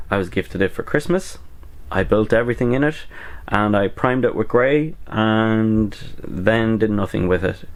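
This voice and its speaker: Young man, lowish voice, strained and slightly cracking, rambling casual chat, close to camera in hobby room. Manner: rambling, with frequent ums and uhs. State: strained voice recovering from bronchitis. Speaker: miniature painting YouTuber. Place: small hobby room at a desk, indoors.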